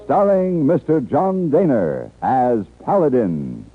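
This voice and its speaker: A male 1950s radio announcer, slow and dramatic, with a hugely varied pitch. He sounds narrow and tinny on an old recording, with music behind. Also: sing-song. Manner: dramatic, deliberate.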